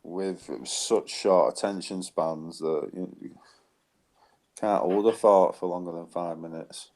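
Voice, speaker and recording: A man co-hosting a relaxed conversation, his voice sitting very low. He speaks in loose, opinionated phrases and often closes with a questioning tag. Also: slow. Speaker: co-host. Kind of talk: casual conversational talk show.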